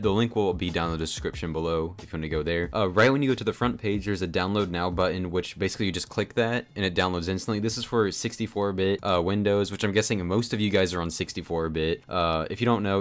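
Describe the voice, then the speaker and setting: A man narrating a casual software tutorial in a chatty, informal, explanatory way. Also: low-pitched. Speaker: tutorial host. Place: voice-over on a screen recording. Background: background music.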